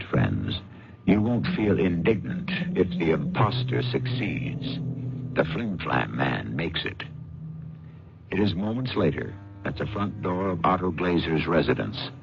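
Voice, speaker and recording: A man's voice: sits low, gravelly. A man hosting and narrating an old radio mystery drama in a wry, knowing, theatrical style. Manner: theatrical, knowing, addresses listeners as friends. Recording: dull old radio broadcast with music.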